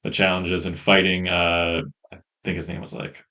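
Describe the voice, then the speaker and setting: A man co-hosting a podcast, chatting casually in a rambling, hesitant way, on a rough-sounding faulty microphone. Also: low-pitched, level tone, normal speed, pushed and bright. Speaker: podcast co-host. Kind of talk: casual chat.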